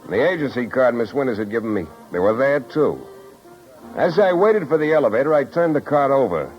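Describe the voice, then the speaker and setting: An actor playing a wry, tough private detective in clipped 1950s radio style. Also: male voice, mid-range voice, animated, a little fast, lightly acted. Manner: wry, hard-boiled, clipped. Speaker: lead actor and narrator. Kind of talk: 1950s radio detective acting, first-person narration. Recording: dull 1950s radio recording, music behind.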